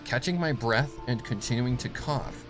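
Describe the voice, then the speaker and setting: A man reading dramatic scripted horror fiction as narrator, in a solemn, deliberate manner. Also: mid-range voice, animated. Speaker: story narrator. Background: music.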